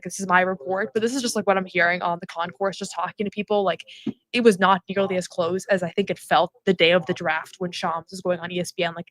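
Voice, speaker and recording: A woman hosting a casual postgame show, chatty and rambling with frequent fillers. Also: mid-range voice. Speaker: host. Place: arena concourse at a summer league event.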